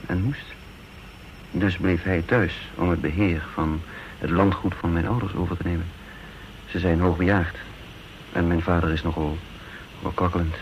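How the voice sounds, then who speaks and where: Diepe, getergde mannelijke hoorspelverteller. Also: male voice, sits low, steady tone, moderate pace, pauses often. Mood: hesitant confiding. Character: brooding undercover patriot man, self-reproaching, tense and guarded. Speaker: narrator and lead character of a radio serial. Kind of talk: Dutch radio drama, first-person narration and dialogue. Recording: dull, noisy old radio recording.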